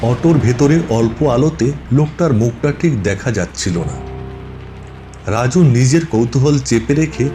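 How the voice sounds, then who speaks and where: A man's voice: mid-range voice, lively intonation, a little slow, music in the background. A man narrating a scripted ghost story for a cartoon as its storyteller.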